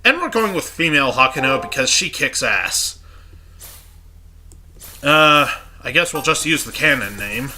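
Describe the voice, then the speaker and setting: A man's voice: mid-range voice, very animated, measured pace, long pauses, bright, forceful tone. A congested man giving casual, joking commentary over a game playthrough. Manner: joking, offhand asides. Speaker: let's play host.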